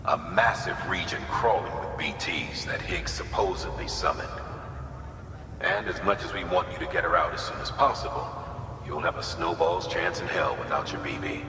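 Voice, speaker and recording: An actor playing a stern, folksy male director giving a measured, commanding mission briefing in a video game cutscene. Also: sits low, music in the background, close to an everyday voice. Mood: businesslike. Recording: echoey hall, clear background noise.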